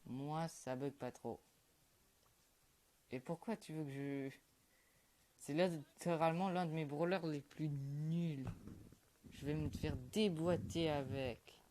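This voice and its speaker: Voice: mid-range voice, takes it slowly, pauses often, a little mellow, slight background noise. A young gamer, chatting casually over his gameplay in a mumbled, offhand way with lots of fillers. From Quebec, Canada. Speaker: gaming YouTuber.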